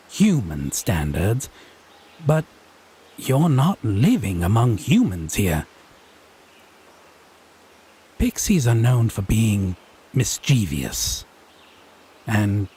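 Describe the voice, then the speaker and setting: A man playing a haughty, teasing, possessive fae in a scripted audio roleplay, speaking in wry, theatrical, deliberate phrases. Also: fairly low pitch, darkish tone, slightly rough, full character voice. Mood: lecturing, dry.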